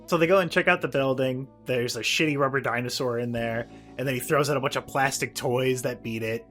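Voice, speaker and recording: A man's voice: medium pitch, brisk, a bit bright. Sardonic man podcasting over faint music. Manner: profane, sardonic descriptions. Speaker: podcast co-host. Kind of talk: casual episode recap chat.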